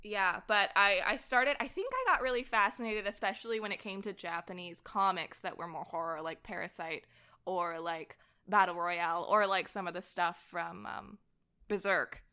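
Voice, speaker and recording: A woman chatting casually as an interview guest, animated, giggly and self-deprecating about herself. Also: mid-range voice, normal speed, clean tone. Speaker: podcast guest, voice actor and director. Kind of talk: casual interview chat about comics and horror.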